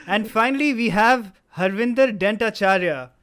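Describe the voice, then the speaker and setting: A man's voice: high voice, normal speed, a bit bright, clean tone. A man hosting a comedy table read, chatting casually and narrating stage directions. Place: remote online stream. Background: live guitar.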